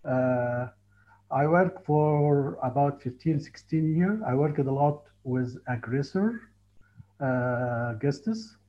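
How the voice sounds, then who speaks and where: A man's voice: medium pitch, lively intonation. Egyptian man telling his story. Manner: halting, earnest, frequent restarts. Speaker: tour guide and Egyptologist. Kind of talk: casual interview on a call. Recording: muffled lo-fi call audio.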